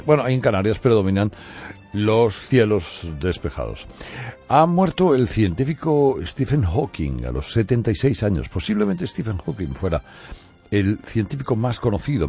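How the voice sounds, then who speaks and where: A man's voice: sits low, warm tone. A middle-aged man hosting a morning news show, giving opinionated commentary and addressing listeners directly. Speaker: radio morning show host.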